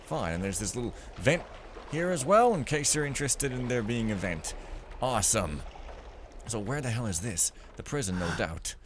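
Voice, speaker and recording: A man's voice: mid-range voice, lively intonation, moderate pace, a little hiss or hum. A man giving casual, rambling commentary while playing a stealth game, full of sarcastic jokes and asides. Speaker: let's play commentator.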